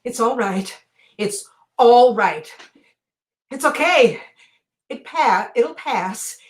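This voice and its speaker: A woman's voice: high-pitched, lively intonation, slow, fairly bright tone, roomy sound, recorded from a distance, exaggerated voice. A woman acting a mother comforting her child, soothing and repeating her reassurances. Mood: anxiously soothing. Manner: soothing, repetitive. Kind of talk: dramatic acting.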